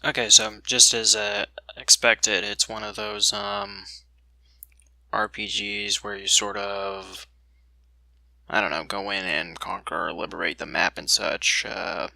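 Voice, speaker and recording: A man's voice: fairly low pitch, a little slow, gravelly, sharp tone, no room sound. A man giving casual, unscripted commentary as he plays a video game, with a skeptical, dry way of talking. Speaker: let's play commentator.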